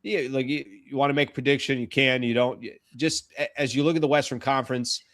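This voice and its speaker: An American man hosts casual sports talk in a mid-pitched voice. The sound is dry, with no room around it, as he trades views and questions conversationally. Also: steady tone, fast, a bit bright, no echo. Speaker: sports talk show host.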